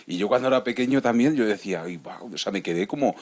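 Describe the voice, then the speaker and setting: A man's voice: mid-range voice, animated, fast talker, a little mellow. A man in his forties with a Spain Spanish accent, hosting a radio interview and asking chatty, leading questions. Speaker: radio host.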